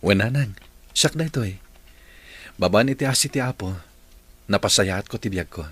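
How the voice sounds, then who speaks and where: Lalaking gumaganap na tapat na binata. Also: male voice, on the low side, moderate pace, a bit bright, breathy edge. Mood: earnest. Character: grown young man, earnest and grateful. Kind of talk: radio drama acting.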